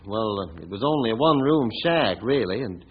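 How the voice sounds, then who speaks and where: An actor playing a proud, plain-spoken young rancher in an old-time radio western, speaking in a halting, earnest way. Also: male voice, fairly low pitch, expressive, lightly acted. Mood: hesitant. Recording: muffled, noisy old radio recording.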